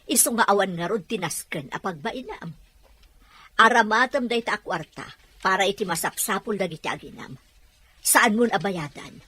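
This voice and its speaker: A woman playing a generous, motherly middle-aged woman in an Ilocano audio drama, with a husky voice. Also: medium pitch, expressive, moderate pace, pushed and bright. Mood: gentle, reassuring. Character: warm, generous older woman, motherly helper.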